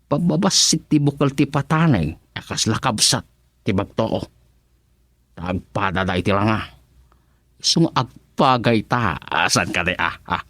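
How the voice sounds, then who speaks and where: An actor with an Ilocano accent playing a flirtatious, sheepish village farmer in a comic radio drama, sweet-talking and stammering when flustered. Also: male voice, on the low side, expressive, a little slow, a bit bright, no room sound. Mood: playful boasting. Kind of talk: Filipino radio comedy drama acting.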